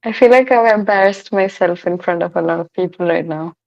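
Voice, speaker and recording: A teenage girl with a deep voice and a sore throat, sarcastic and deadpan, who swears casually. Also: a little fast, gravelly. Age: late teens. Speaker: video chat stranger. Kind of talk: casual chat. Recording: lo-fi video chat audio.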